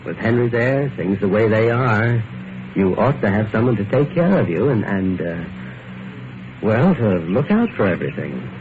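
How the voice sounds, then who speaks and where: A dull, hissy vintage radio recording carries a scheming, smooth-talking suitor. He is a man of polished, solicitous manner whose delivery moves in lively swings as he narrates and woos. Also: lowish voice, moderate pace. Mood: tender, wooing. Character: smooth-talking scheming male suitor, falsely tender, calculating. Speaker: narrator and lead character. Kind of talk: old-time radio mystery acting.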